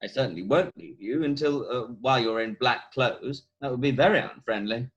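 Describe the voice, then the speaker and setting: A man reading a witty, vain and romantic young gentleman in an amateur play read-through on a video call. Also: medium pitch, brisk, lo-fi sound, natural voice. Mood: flippant, teasing.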